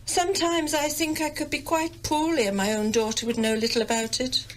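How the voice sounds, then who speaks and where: A woman's voice: sits high, flat delivery, brisk, a little hiss or hum, lightly acted. An actress playing a domineering, self-pitying older English village mother in a radio drama, haughty and querulous. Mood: self-pitying, reproachful. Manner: querulous, haughty, melodramatically aggrieved.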